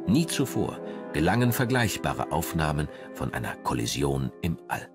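A man reading scripted documentary narration with measured, dramatic phrasing. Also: a bass voice, very animated, music in the background.

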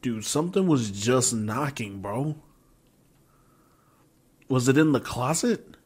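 A young man giving casual gaming reactions and commentary in an informal, expressive, slangy way, close on a desk microphone. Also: mid-range voice, slow, breathy edge. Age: young adult. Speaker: gaming YouTuber. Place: small room at home, indoors. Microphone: close desk microphone with pop filter.

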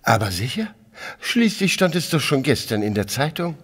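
A haughty, disgruntled gentleman of the manor speaks with a husky voice and swooping intonation. His phrasing is stiff and formal, broken by hesitations. It is a radio play with long reverb around the voice. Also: medium pitch, very animated, moderate pace. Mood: curt, formal. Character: haughty, disgruntled English gentleman and villa owner. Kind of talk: German audio drama acting. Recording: long reverb, music underneath.

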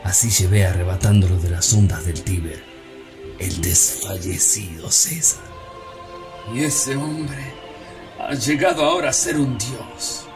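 A man's voice: fairly low pitch, unhurried, music in the background, natural voice. A man playing a bitter, sneering Roman conspirator. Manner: declamatory, sneering, mocking. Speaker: stage actor. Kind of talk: theatrical monologue.

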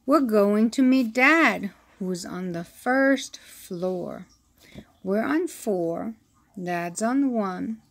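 A woman reading a children's picture book aloud, with an expressive storytelling delivery. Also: mid-range voice. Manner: slow, expressive reading with acted-out sound effects.

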